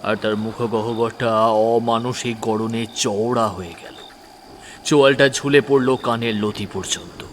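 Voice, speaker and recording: A man's voice: medium pitch, fairly even intonation, a little slow, slight background noise. Dramatic male horror story narrator. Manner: dramatic, dropping to whispers. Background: music.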